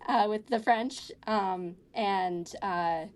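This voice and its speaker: A woman's voice: mid-range voice, takes it slowly, smooth. A young woman, a college student, talking casually in an interview, rambling with many fillers and restarts. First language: English. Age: young adult. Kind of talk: casual conversation.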